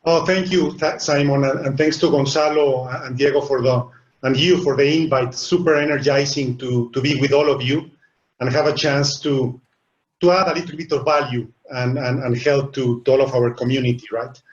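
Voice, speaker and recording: A man's voice: mid-range voice, steady tone, normal speed. A middle-aged man with a Mexican accent speaking as a business panelist on a video call, earnest and often repeating small words as he builds his points. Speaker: webinar panelist, business executive. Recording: echoey room over a dull, lo-fi line.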